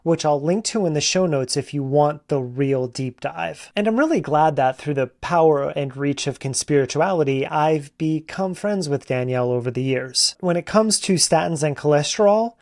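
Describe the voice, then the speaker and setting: A man's voice: a little high, animated, moderate pace, hardly any pauses, a little mellow. A man hosting a podcast, reading a blunt, sardonic introduction and then putting questions to his guest. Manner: blunt, sardonic about supplement sellers. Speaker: podcast host.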